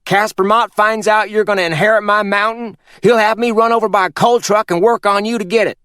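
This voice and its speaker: A man's voice: high voice, steady tone, fairly quick, a bit bright, smooth, no echo, clean studio sound, voice pushed up. A man voicing a shrewd, bossy older uncle from who orders people around. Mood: commanding. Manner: commanding, scolding.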